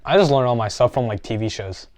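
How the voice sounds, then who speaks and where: A young American man in easy podcast chat, lively and laughing often. His voice sits at an ordinary middle pitch, recorded dry and close with no room sound. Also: fast, no room sound. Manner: animated, laughs easily. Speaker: podcast co-host. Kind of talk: casual chat.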